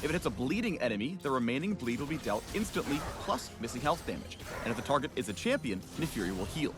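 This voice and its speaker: A man's voice: medium pitch, sing-song, quick pace, music in the background. A man delivering scripted, playful promotional narration for a video game character spotlight.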